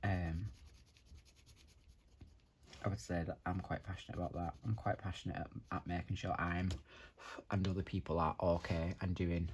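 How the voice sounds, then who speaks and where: A young man with a tired, croaky voice, chatting casually and rambling as he hosts a colouring video. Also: deep voice, level tone, normal speed, long pauses, slight background noise. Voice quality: husky. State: tired, croaky after a night out. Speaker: colouring channel host. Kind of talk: casual chat while colouring.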